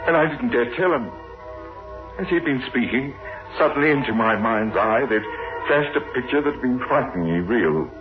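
An actor playing a haunted, tormented man in a 1960s radio horror drama, with dramatic, anguished, halting delivery. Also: mid-range voice, animated, lightly acted. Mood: shaken. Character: haunted, tormented man, a reluctant blood donor recounting a nightmare. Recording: muffled old radio recording with music.

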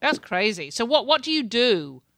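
A woman's voice: on the high side, big pitch swings, moderate pace, a bit bright, dry recording. A woman hosting a casual interview, talking in a chatty way with frequent repeated words and light laughs. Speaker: podcast host. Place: home in Canada.

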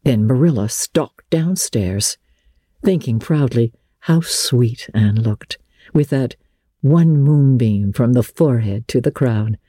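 A woman's voice: rumbling low, lively intonation, slow, warm tone, husky, no echo, clean studio sound. A woman narrating classic literature as a dramatic, scripted story reading. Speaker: audiobook narrator.

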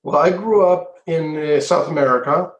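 Halting middle-aged man in an echoey room. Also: fairly high pitch, lo-fi sound. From Colombia. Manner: halting, measured, frequent hesitations. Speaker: interview guest, entrepreneur. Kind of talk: conversational interview answers. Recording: echoey, roomy sound.

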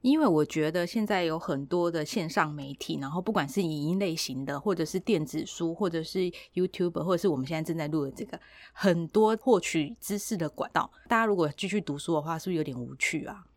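A woman's voice: lowish voice, fast, no room sound. A woman podcast host with a Taiwanese Mandarin accent, chatting casually with playful, teasing banter. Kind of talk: casual chat.

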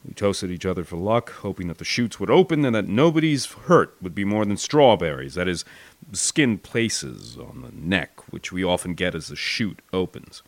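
An American man with a fairly low voice narrates a wartime memoir. He reads from a script like a storyteller, expressive and dramatic. The recording is dry, with very little room sound. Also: moderate pace, dry recording. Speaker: audiobook narrator. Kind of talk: scripted first-person war memoir narration.

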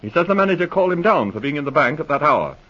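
An actor playing an eager, frank police officer with a brisk manner, in a 1940s radio drama. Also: male voice, fairly high pitch, very animated, husky. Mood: frank, businesslike. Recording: muffled vintage radio recording.

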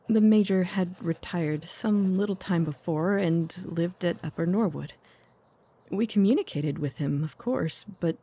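Woman acting a refined young lady, soft husky voice. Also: lowish voice. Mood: composed, factual. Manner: formal, measured, earnest. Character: composed but anxious young Victorian lady client, refined. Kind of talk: audio drama acting.

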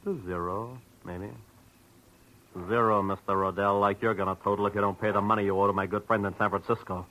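Casting: radio-noir collection heavy, lowish voice, oily politeness, taunting threats. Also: male voice, fairly even intonation, pushed and bright, poor audio. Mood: taunting menace. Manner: taunting, slyly polite, drawn-out insinuation. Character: menacing, mock-polite male debt collector and thug. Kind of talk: 1940s radio detective acting.

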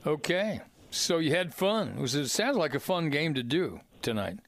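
A man's voice: on the high side, moderate pace, no room sound. Upbeat male radio sports host. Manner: energetic, upbeat, rising on welcomes. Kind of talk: call-in radio sports talk.

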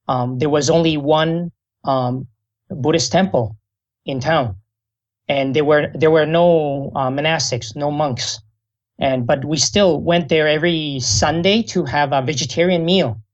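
Middle-aged Taiwan-born man, halting speech. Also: on the high side, muffled recording. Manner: slow, halting speech with many fillers. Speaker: guest, Buddhist monk. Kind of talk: interview answers.

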